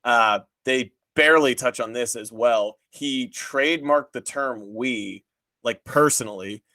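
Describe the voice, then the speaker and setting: A man's voice: medium pitch, measured pace, pushed and bright, no echo. Casual man chatting, close dry sound. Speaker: podcast host reviewing a TV show. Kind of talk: casual podcast chat.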